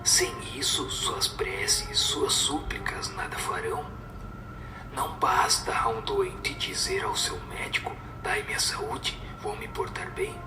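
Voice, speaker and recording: A man playing a solemn spirit teacher in a radio drama, speaking in a measured, didactic and breathy whispered voice. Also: fairly high pitch, wildly swooping pitch, full character voice. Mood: solemn admonishing. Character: solemn male spirit teacher giving moral answers. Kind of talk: scripted radio drama recitation. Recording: echoing voice over music.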